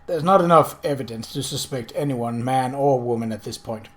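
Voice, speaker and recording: A man voicing a composed, gently teasing gentleman investigator in an audiobook, measured and matter-of-fact. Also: mid-range voice, lightly acted.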